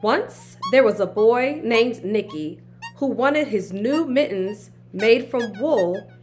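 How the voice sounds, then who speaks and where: A woman narrating a children's picture book in an expressive storytelling manner. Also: fairly high pitch. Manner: slow, animated storytelling. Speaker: children's read-aloud host. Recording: music behind the voice. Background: background music.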